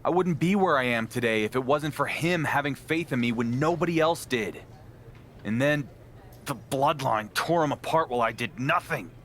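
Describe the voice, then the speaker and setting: A man's voice: medium pitch, expressive, normal speed, fairly bright tone, a little hiss or hum, natural voice. A man playing a stubborn, brooding wrestling champion in a video game cutscene, speaking in a grim, clipped and resolute way. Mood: grim, determined. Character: stubborn young male wrestling champion, guilt-ridden, determined, brooding.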